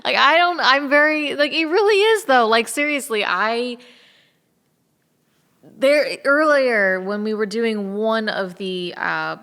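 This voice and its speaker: Bright-voiced woman chatting effusively. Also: a little high, slow pace, clean tone. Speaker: actor in an audio drama cast. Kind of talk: casual chat.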